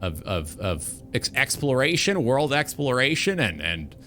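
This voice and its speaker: A man in his twenties talks as a streamer over background music. His pitch is mid-range and moves up and down a lot as he rambles emphatically. The delivery is casual and unscripted, with the odd curse word. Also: sing-song, unhurried, pushed and bright. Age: 20s. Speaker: streamer and talk show host. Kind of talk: casual livestream rant about video games.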